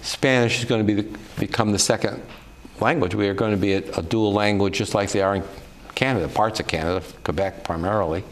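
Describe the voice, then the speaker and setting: A man's voice: fairly low pitch, quick pace, a little hiss or hum. An older man on a political talk show, speaking in an opinionated, conversational way. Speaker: show co-producer and guest.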